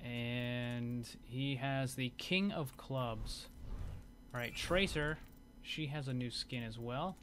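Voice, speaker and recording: Bright-voiced man doing casual gaming commentary. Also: medium pitch, slow, a little hiss or hum. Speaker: gaming video host. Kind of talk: casual commentary over game footage.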